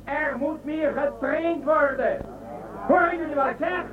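Bossy scornful space emperor, radio play, thin phone-like sound with a crowd behind. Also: male voice, very high voice, measured pace, distant mic. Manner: imperious, scornful, commanding. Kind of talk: Dutch radio drama acting.

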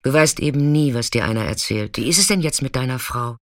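A woman's voice: a bass voice, brisk, no echo, clean studio sound. An actress playing a chatty, caring, streetwise female sex worker in an intimate, colloquial radio drama monologue. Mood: chatty, familiar.

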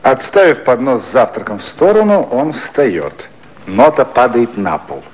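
An actor narrating a satirical 1950s radio play with measured, precise, scripted storytelling. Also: male voice, mid-range voice, huge pitch swings, poor audio. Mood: composed. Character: composed male narrator of a political satire.

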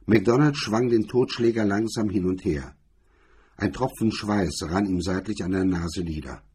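A man with a husky, rough-edged voice reading hardboiled crime fiction as a scripted narration. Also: lowish voice, normal speed, a little mellow.

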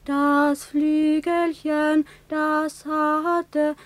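A sorrowful young mother in a poetic radio play. Her voice is high and dry, and she moves very slowly through simple, halting phrases. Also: level tone, no echo, soft background music. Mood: tender, mournful. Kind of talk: slow radio play acting, poetic lullaby.

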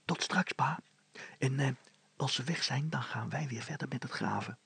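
Man voicing a whispering, gravelly, mischievous boy. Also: mid-range voice, normal speed, no echo, heavily acted. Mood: cheerful. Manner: whispering, hushed. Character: eager, mischievous boy, cheeky and confident toward his father.

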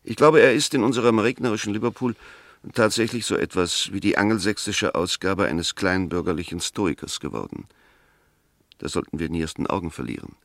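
Low-voiced, emphatic defence lawyer. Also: male voice, a little fast, vocal fry, a bit bright, no echo. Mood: corrective, reasoned. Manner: emphatic, formal, methodical. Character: Mr. Oliver, firm, reasoning English defence lawyer. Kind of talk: 1960s German radio play, formal debate.

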